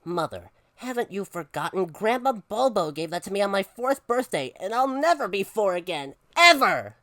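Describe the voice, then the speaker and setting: Woman acting young boy, high-pitched, melodramatic, pleading, big pitch swoops, storybook character. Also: big pitch swings, normal speed, fairly bright tone, no echo. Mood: wailing, dramatic. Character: dramatic, indignant young boy who bargains. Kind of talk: animated picture-book read-aloud.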